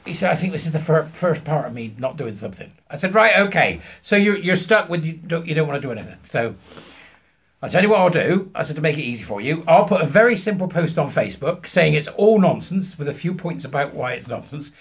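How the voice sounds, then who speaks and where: A British man in his fifties telling an anecdote to camera, emphatically acting out both sides of a conversation in a husky voice. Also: a little high, expressive, a little fast. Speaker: vlogger. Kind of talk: animated anecdote told to camera. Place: small furnished room at home, indoors.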